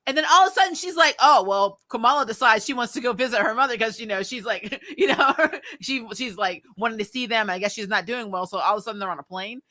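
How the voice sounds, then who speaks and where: A woman with a bright, forceful, fairly high voice talks as a panelist in a casual group chat. She is emphatic and jokey, and quick to laugh as she makes her points. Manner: emphatic, joking, laughs readily. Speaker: panelist reviewing a TV series.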